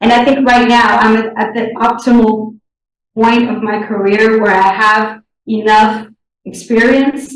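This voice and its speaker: A young woman whose first language is Portuguese, answering interview questions deliberately and often pausing to search for words. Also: mid-range voice, a little hiss or hum. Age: young adult. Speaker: interviewee, board candidate. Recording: echoey room, distant mic.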